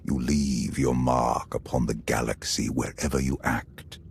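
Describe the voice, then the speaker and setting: Ancient, very deep gravelly emperor. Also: male voice, flat delivery, slight background noise, full character voice. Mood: grandiose calm. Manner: grandiose, measured, commanding. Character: ancient male immortal emperor, imperious, calm, megalomaniac. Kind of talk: video game cutscene acting.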